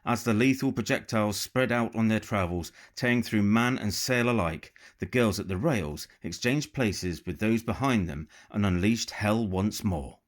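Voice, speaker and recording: A man reading a novel as a dramatic, measured and expressive audiobook narration. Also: lowish voice. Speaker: author reading own novel.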